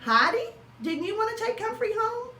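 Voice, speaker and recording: A woman's voice: very high-pitched, sing-song, normal speed, bright, forceful tone, recorded from a distance, pitched above the performer's own voice, heavily acted. A woman voicing a firm, composed schoolteacher in a children's storybook, speaking as if addressing a class. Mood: asking. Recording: roomy echo with faint noise.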